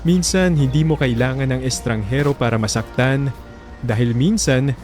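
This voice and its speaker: Filipino male host-narrator, mid-pitched, grave and measured dramatic storytelling with music underneath. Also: darkish tone. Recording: music bed behind the voice.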